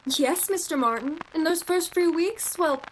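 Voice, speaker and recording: A woman acting in a radio play as a romantic, earnest young shop clerk, expressive and theatrical. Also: very high voice, measured pace, slight background noise, poor audio. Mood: confiding, earnest. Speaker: amateur actor.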